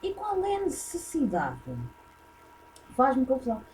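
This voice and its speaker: A woman's voice: high-pitched, wildly swooping pitch, measured pace, darkish tone, a little hiss or hum, rough recording. A woman with a European Portuguese accent, hosting a casual reaction video and giving blunt, opinionated commentary full of fillers.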